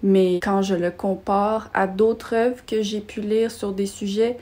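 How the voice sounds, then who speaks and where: A woman's voice: mid-range voice, little pitch movement, slow pace, warm tone. A young adult woman with a Quebec French accent, chatting casually as she reviews books for her vlog, self-deprecating and given to small rants. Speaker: book vlogger.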